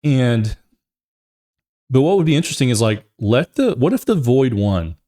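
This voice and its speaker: A man's voice: medium pitch, big pitch swings, normal speed, mellow. A man hosting a gaming show, giving casual, opinionated commentary in a rambling, emphatic way and often repeating his phrases.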